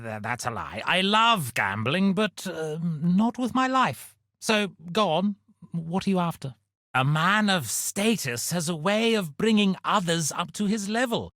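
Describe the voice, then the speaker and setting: An actor playing a pompous, scheming aristocratic villain in a video game, haughty, condescending and melodramatic. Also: male voice, mid-range voice, dry recording, studio quality. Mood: nervous bargaining, then smug, scheming.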